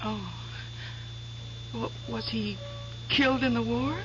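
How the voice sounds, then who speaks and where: An actress playing a sentimental, anxious wife in a 1970s radio drama, halting and emotional. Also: female voice, fairly high pitch, background noise. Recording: dull vintage radio broadcast.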